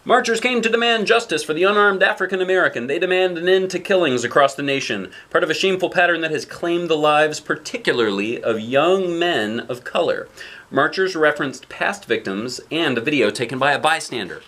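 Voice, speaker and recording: A man's voice: fairly high pitch, animated, brisk, bright, forceful tone, pitched above the performer's own voice. A man voicing a formal, emphatic television newsreader giving a bulletin within a picture book. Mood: urgent, formal. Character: television newsreader delivering breaking news, male or female.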